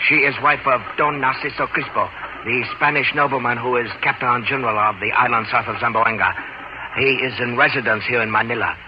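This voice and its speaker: A man's voice: medium pitch, fairly even intonation, a little fast, close to an everyday voice. Wry Chinese seafarer, played by a male actor, formal article-dropping speech, vintage adventure serial, muffled noisy sound. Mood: knowing. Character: wry, philosophical Chinese seafarer with flowery sayings. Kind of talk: old-time radio adventure acting. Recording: muffled, very noisy old radio recording.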